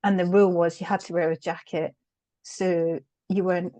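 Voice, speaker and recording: A woman's voice: on the low side, flat delivery, normal speed, mellow, dry recording. A middle-aged British woman, a guest on an interview show, reflecting on her work in a candid, conversational way, with frequent laughs and fillers. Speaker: guest, change consultant.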